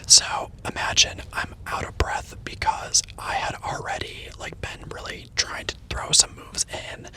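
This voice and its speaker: A man who teaches, telling a casual rambling story in a soft unvoiced whisper from a parked car, with the mic on the dashboard. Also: low-quality recording. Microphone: microphone on the dashboard.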